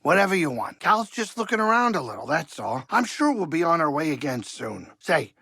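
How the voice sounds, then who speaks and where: A gravelly, middle-aged alien starship pilot from a video game. He grumbles and frets in a chatty, wry way, with a kindly streak under the nerves. The sound is dry and close, with plenty of creak in the voice. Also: on the high side, big pitch swings, brisk, pushed and bright, no room sound, exaggerated voice. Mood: gentle, chatty. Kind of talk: video game character acting.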